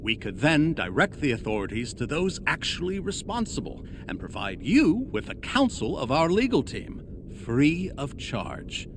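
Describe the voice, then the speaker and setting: A man's voice: a little high, very animated, measured pace. A man playing a formal, slick corporate official in a video game, reading out a letter with polite menace. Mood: politely threatening. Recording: clear background noise and ambience.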